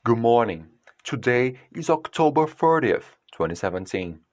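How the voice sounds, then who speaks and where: An actor playing a sombre newsreader in an audio drama, with a formal, measured delivery. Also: male voice, medium pitch, big pitch swings, dry recording, faint music behind, lightly acted. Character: sombre male news announcer reporting a killing. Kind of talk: news bulletin within audio drama.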